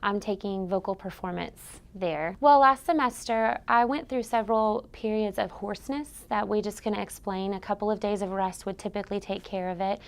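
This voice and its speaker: A woman in her twenties, a vocal music student, telling her story as a patient in a clear, matter-of-fact way. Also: medium pitch, moderate pace, hardly any pauses. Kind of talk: personal testimonial.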